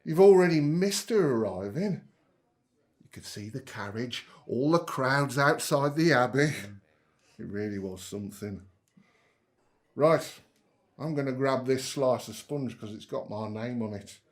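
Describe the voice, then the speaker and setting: A man's voice: medium pitch, expressive, a little slow, natural voice. Jovial middle-aged working-class dad man acting. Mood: chatty, proud. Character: proud, chatty working-class father of grown daughter. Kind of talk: naturalistic audio drama acting.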